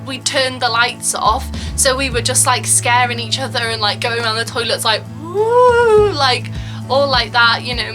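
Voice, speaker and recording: Chatty woman vlogging over music. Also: a little high. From Wales. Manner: chatty, frequent "like" and "um", acts out quotes. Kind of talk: casual chat to camera.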